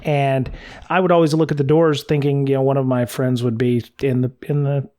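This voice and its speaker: A man's voice: mid-range voice, flat delivery, fairly quick, mellow. An American man co-hosting a podcast in casual conversation, talking in a hesitant, rambling way. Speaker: podcast co-host.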